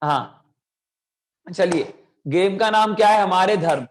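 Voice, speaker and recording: Young male teacher, high-pitched, sore throat, energetic and coaxing, on a video call. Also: slow pace. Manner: energetic, coaxing kids to shout. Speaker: children's class teacher. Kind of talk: online children's class.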